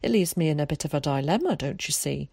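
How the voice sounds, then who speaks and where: A woman's voice: low-pitched, animated, quick pace, creaky, a little mellow, breathy edge, dry recording, clean studio sound, full character voice. A woman voicing an eager, theatrical, talkative young boy in a storybook, with dramatic, chummy old-fashioned phrasing. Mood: earnest, aggrieved.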